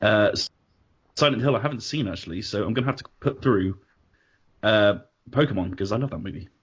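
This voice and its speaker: British male host, casual, low-pitched, lo-fi sound. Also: a little fast, breathy edge. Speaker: podcast host. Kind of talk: casual panel chat. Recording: dull lo-fi audio.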